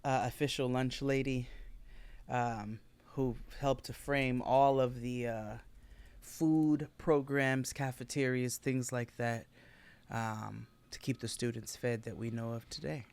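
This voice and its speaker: A man's voice: medium pitch, fairly even intonation, takes it slowly, darkish tone, clean tone, no echo. A man co-hosting a podcast, telling a made-up history tale in a mock-solemn comic way. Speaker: podcast co-host. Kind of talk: comic tall-tale storytelling.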